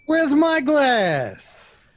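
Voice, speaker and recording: A man's voice: very high voice, huge pitch swings, extremely slow, slight background noise, exaggerated voice. A man playing a crude, pushy publicist with a leering carnival barker's patter in an audio drama. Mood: brash, crude. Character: brash, crude, pushy male publicist and showman. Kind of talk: audio drama acting, 1960s show-business patter.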